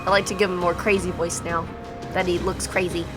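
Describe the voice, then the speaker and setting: A woman's voice: lowish voice, level tone, fairly quick, music in the background. A woman hosting a let's play, giving casual, sweary game commentary and often talking to the game. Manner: sweary, exclaiming, talks to the game.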